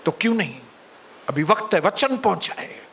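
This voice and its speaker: A male pastor preaching a sermon, calling out to the congregation and later praying softly. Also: very animated, creaky, a little hiss or hum. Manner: preaching, rising to shouted calls to the congregation; soft, low, slow in prayer. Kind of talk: sermon and closing prayer.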